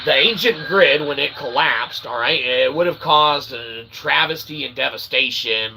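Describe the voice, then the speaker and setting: A man's voice: medium pitch, vocal fry, sharp tone, breathy edge, low-quality recording. A man hosting a livestream, rambling through a monologue and checking in with "okay?" and "all right". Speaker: livestream host.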